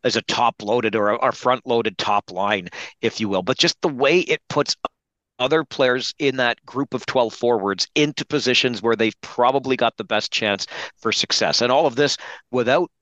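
A man who works as a hockey broadcaster, giving articulate, measured analysis as a podcast guest. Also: mid-range voice, fairly bright tone, dry recording, highs cut off. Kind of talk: sports podcast interview analysis.

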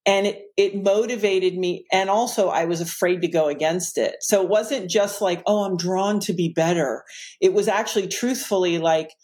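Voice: mid-range voice, normal speed, darkish tone. A person speaking in a casual podcast conversation, thoughtful and hesitant, searching for words.

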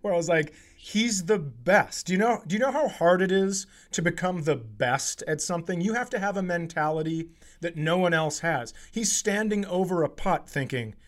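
A male comedian hosting a podcast in casual comic chat, riffing in an animated, profane, self-mocking way. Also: high-pitched, fairly quick, hardly any pauses.

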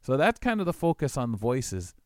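A man's voice: medium pitch, sing-song, a little fast, darkish tone, no echo, clean studio sound. A man hosting a radio show, talking to listeners in a casual, reflective, rambling way. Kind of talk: casual spoken commentary to listeners.

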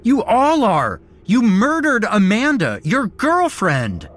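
A man's voice: huge pitch swings, slow, a little hiss or hum, full character voice. A man voicing a frantic captive screaming. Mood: panicked. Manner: frantic, high-pitched shouting. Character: terrified captive young man, screaming in panic.

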